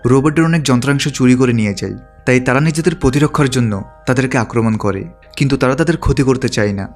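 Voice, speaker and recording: A man reading scripted narration that retells a film's story as a recap narrator. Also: mid-range voice. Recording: background music under the voice. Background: music.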